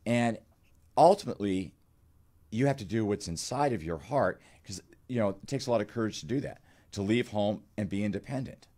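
A man's voice: lowish voice, lively intonation, normal speed, dark tone. An older man speaking as a guest psychologist, explaining things to a caller in a reassuring, validating way on a studio microphone. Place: indoor studio. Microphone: close studio microphone on an arm.

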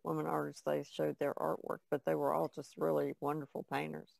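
A woman's voice: deep voice, flat delivery, brisk, gravelly, crowd noise. A woman chatting casually as a guest on a podcast. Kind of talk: casual chat.

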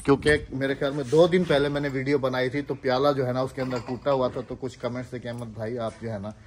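A man's voice: mid-range voice, fairly even intonation, fast, a little hiss or hum. A middle-aged man with a Pakistani accent, chatting casually as a village family vlogger, recorded outdoors on a phone at arm's length. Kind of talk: casual chat to camera. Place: outdoors in village fields and open house courtyard.

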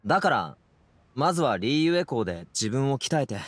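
Casting: easygoing young male anime game role, earnest and stubborn, youthful casual speech, animated medium-pitched voice. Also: moderate pace, no echo. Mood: earnest, determined. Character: easygoing, headstrong young man, an aspiring stage performer.

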